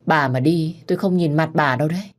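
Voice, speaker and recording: A woman's voice: low-pitched, a little fast, lightly acted. Woman reading as a restrained, sorrowful old father.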